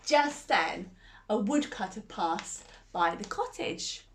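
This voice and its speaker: A woman reading a bedtime fairy tale aloud and chatting gently with young listeners between lines. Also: mid-range voice, animated, slow pace, slight background noise. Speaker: storyteller.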